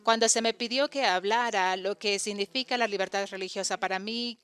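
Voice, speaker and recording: A woman giving a voice-over interpretation of a conference talk, halting often to correct and restart her phrases. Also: medium pitch, fairly even intonation, a bit bright, smooth.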